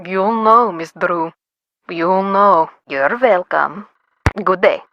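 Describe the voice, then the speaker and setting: An actress playing a composed, cautious female doctor in a video game, with a measured, formal, precise way of talking. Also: on the low side, dry recording, muffled recording. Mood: grave, cautious.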